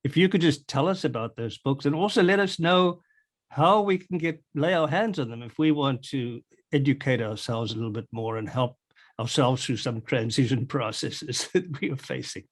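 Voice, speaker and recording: A man hosts a podcast in a mid-pitched, everyday voice. He introduces his guests loosely, with many uhs, sighs and restarts. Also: normal speed. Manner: rambling, filler-heavy introductions. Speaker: podcast host. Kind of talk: casual interview hosting.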